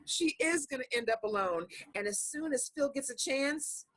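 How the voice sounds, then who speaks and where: A woman's voice: sits high, animated, normal speed, a bit bright, low-quality recording. A woman playing a blunt, taunting goddess who reads fortune cards in a comedy screenplay reading. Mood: smug, taunting. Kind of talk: comedy screenplay table read. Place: online video call reading.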